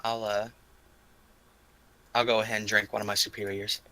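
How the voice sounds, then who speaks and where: A man's voice: on the low side, little pitch movement, moderate pace, pushed and bright. A man chatting casually as a player in a tabletop role-playing game.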